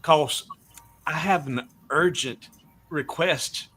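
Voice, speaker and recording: A man's voice: on the high side, takes it slowly, bright, forceful tone. A man hosting a casual live stream chat, talking with his guests. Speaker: livestream host.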